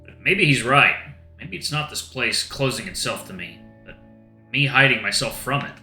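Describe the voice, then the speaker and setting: Reflective male game narrator, bright tone, echoey room, soft guitar behind. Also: mid-range voice, animated, normal speed. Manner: reflective, subdued reading. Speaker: let's-play narrator. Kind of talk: first-person visual novel narration. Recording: roomy echo with faint guitar music.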